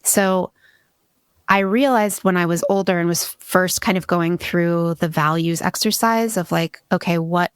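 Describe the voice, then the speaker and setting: A woman's voice: lowish voice, a little slow, clean tone, no room sound, studio quality. A woman in her forties from Hawaii, a podcast guest telling her story in a candid, reflective way with frequent restarts. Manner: reflective, many restarts and "you know".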